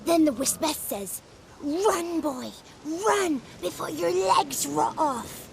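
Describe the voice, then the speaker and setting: A woman's voice: sits high, lively intonation, slow, creaky, a little hiss or hum, exaggerated voice. A woman playing a cheeky, childlike male swamp creature in a video game, chatty and playful. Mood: mischievous storytelling. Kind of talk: video game character acting.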